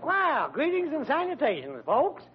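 An actor playing a breezy, smug young rival suitor, glib and teasing, in an old-time radio comedy. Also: male voice, extremely high pitch, huge pitch swings, moderate pace, full character voice. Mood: jaunty, mocking. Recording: muffled old radio recording, faint noise.

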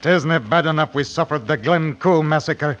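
An actor playing a vehement, hot-tempered young Scottish sweetheart in an old-time radio drama. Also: male voice, a little high, moderate pace, a bit bright, close to an everyday voice. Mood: indignant. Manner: vehement, old-fashioned dialect speech. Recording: dull vintage radio recording.

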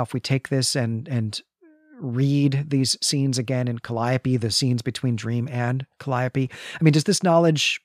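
A man's voice: medium pitch, dry recording, clean studio sound. A man co-hosting a podcast, discussing a story in an analytical, conversational way and often checking in with tag questions. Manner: analytical, adds frequent tag questions like "right?". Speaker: podcast co-host. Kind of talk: conversational literary discussion of a comic.

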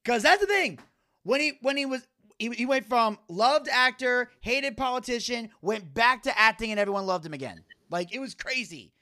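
A man co-hosting a podcast, telling animated stories and laughing often. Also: extremely high pitch, moderate pace. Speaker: podcast co-host. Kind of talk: casual chat.

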